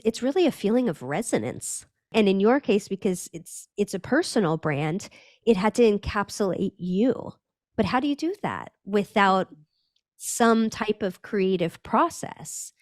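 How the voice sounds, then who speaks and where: A woman's voice: mid-range voice, no echo. Reflective woman guest, dry close sound. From Northern California. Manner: thoughtful, searching, many restarts and "like". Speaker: podcast guest, brand strategist. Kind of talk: reflective conversational interview.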